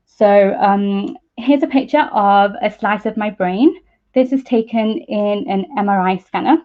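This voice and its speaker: A woman's voice: mid-range voice, measured pace. A young woman, giving an informal science talk as a PhD student in a slightly hesitant, explanatory way. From East Midlands, England. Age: young adult. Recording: muffled narrow-band online call audio.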